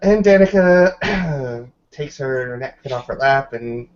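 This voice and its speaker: A man narrating a tabletop game as game master, improvising in a halting, unhurried way. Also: mid-range voice, animated, muffled recording.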